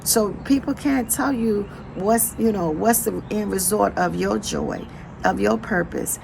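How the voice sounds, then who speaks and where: A hoarse woman gives a faith pep talk from inside a vehicle, her voice gravelly and strained. She keeps checking in with "right?" between phrases. Road noise hums clearly behind her. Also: mid-range voice, animated, measured pace. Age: adult with children. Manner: preachy, repeats "right?" after phrases. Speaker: motivational speaker.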